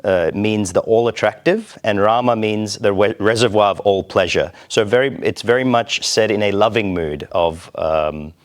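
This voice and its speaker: A man from Australia speaking as an interview guest, explaining things in a measured, deliberate way. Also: on the low side. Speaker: temple president, interview guest. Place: TV studio.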